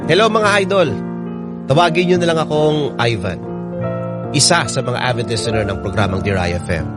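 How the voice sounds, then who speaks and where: A man's voice: mid-range voice, expressive, brisk, lightly acted. An actor playing a devoted, lovestruck young man narrating his love story as a letter in a radio drama. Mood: earnest, sentimental. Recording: loud background music. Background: music bed.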